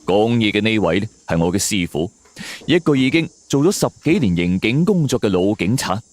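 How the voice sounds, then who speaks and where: Man telling suspense stories, very expressive. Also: fairly low pitch, a little fast. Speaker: storyteller narrating audio drama. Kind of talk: dramatic suspense story narration in the first person. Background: background music.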